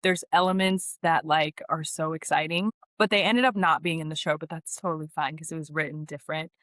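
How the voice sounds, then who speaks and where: Woman chatting as an actress guest on a podcast, lowish voice, close dry sound, says like a lot. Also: steady tone, a little fast, a bit bright, dry recording. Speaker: guest actress being interviewed. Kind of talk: casual podcast interview chat.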